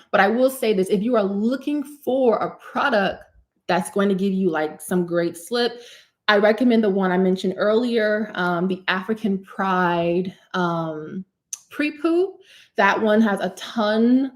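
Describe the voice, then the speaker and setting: A woman in her thirties hosting a live hair care Q&A, chatty and animated, calling viewers honey and sis. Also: mid-range voice. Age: 30s. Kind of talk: live stream Q&A, casual chat.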